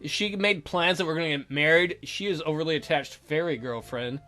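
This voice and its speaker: A man's voice: on the high side, a little fast, sharp tone, soft background music. A man reading a visual novel aloud as a let's play host, in a theatrical, jokey way with his own ad-libbed commentary.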